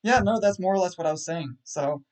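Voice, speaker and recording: A man in casual chat on a livestream, self-deprecating and halting, with frequent restarts. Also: high-pitched, darkish tone, rough recording. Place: home setup on a livestream call.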